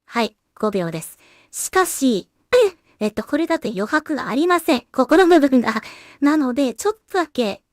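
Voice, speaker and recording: High-voiced woman thinking aloud. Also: big pitch swings, normal speed, faint music behind. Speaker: solo animation creator and voice actor. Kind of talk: casual production commentary, thinking aloud.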